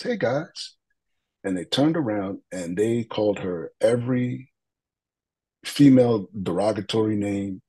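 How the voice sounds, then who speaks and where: A man with a deep voice, a guest in an interview, telling an earnest, reflective story with frequent fillers and restarts. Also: a little mellow, rough recording. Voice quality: deep, husky voice.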